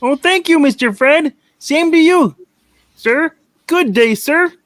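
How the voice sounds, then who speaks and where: A meek little clerk in a radio-play reading. The voice is squeaky and pitched very high, timid and eager to please, always deferential. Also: male voice, lively intonation, takes it slowly, a bit bright, exaggerated voice.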